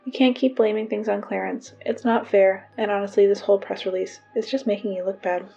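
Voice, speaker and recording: A woman's voice: medium pitch, steady tone, brisk, creaky, warm tone, a little hiss or hum, close to an everyday voice. A woman playing an exasperated school announcer in a fiction podcast, reading notices with dry, wry asides. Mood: exasperated reproach. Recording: dull lo-fi PA sound, music behind.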